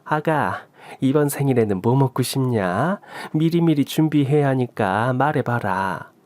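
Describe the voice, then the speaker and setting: A man's voice: mid-range voice, animated, measured pace, darkish tone, no echo, close to an everyday voice. A man voicing a doting mother-in-law near sixty who scolds with fussy affection.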